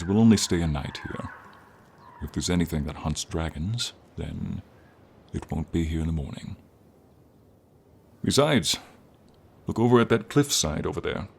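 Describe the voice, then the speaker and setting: A man voicing a friendly, protective silver dragon in a scripted fantasy ASMR role play, speaking slowly with formal, tender address to the listener. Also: sits low. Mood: calm, assured. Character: friendly silver dragon, male, gentle protective old guardian. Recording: clear background ambience noise, light rain effect. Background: light rain and flying ambience.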